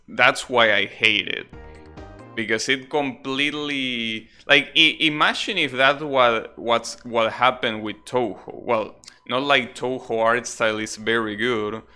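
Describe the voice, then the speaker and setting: A man gives casual commentary as he streams a game, with its music clearly playing behind him. He talks at a slow pace in a middle-pitched voice. His manner is opinionated and grumbling, with some mild swearing. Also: pushed and bright. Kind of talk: casual live gaming commentary, chatting with viewers. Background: video game music.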